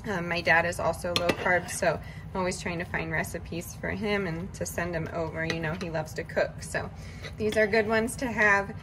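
A woman chatting casually as she walks through her meal prep in a home kitchen, relaxed and full of fillers. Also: fairly low pitch, normal speed, noisy background. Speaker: vlogger cooking meal prep. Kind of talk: casual cooking narration.

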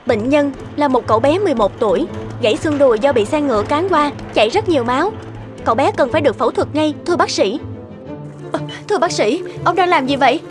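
Young female cartoon nurse, earnest and respectful, extremely high voice over prominent music. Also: lively intonation, quick pace. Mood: urgent. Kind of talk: cartoon dubbing. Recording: prominent music under dubbed dialogue.

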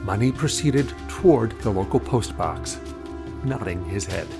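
A man's voice: fairly low pitch, lively intonation, measured pace, music in the background. A man narrating a scripted audio drama as its storyteller.

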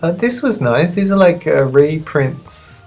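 A sniffly magazine reviewer of medium-pitched voice chatting casually off the cuff, rambling, playful and self-deprecating. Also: animated, measured pace, a little mellow, soft background music. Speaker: magazine reviewer and video host.

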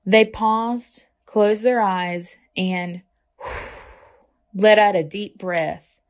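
A woman reading a children's picture book aloud as a library storyteller, with expressive, deliberate phrasing. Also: medium pitch.

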